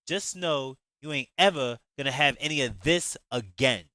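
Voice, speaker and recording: A man's voice: medium pitch, moderate pace, sharp tone, no room sound, voice pushed up, natural voice. A man voicing a bitter young woman who speaks sharply and with contempt. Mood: scornful.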